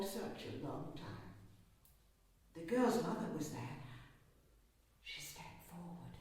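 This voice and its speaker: An actress playing an imperious, murderous, half-mad old countess in a theatrical horror audio play, with a grandiose, halting delivery. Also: female voice, fairly high pitch. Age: older. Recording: echoey chamber, distant from mic.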